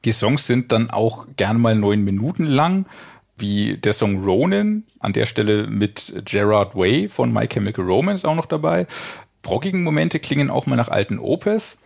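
A man's voice: medium pitch, very animated, normal speed, gravelly, a little mellow. A man giving a conversational album review as co-host of a music podcast.

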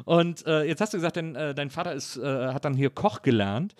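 A man's voice: on the high side, fairly quick, darkish tone, no echo, studio quality. A man from the Cologne area chatting informally as an interviewer, often repeating words as he feels his way through a sentence. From Cologne area, Germany. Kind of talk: casual conversational interview.

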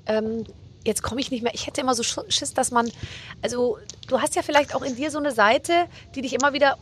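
The woman hosting a laid-back chat podcast, higher-pitched, feeling her way through long questions over slight ambient noise. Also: fairly even intonation, fairly quick, fairly bright tone. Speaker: interviewer, host. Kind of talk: casual conversational interview.

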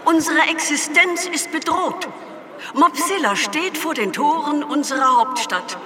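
A grave president of an alien planet in a sci-fi audio comedy. Her delivery is slow, stately and full of pathos, pitched high. Long reverb and noisy ambience surround her as she rallies her citizens. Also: bright, forceful tone. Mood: solemn, stirring. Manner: stately, emphatic, pathos-laden oratory.

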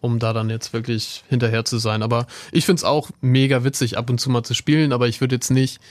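A man with a medium-pitched voice talks as a guest in an informal chat. He is laid-back and understated, and the recording is dry. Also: fairly even intonation, fairly quick, no room sound. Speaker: professional handball player, guest. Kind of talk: casual chat.

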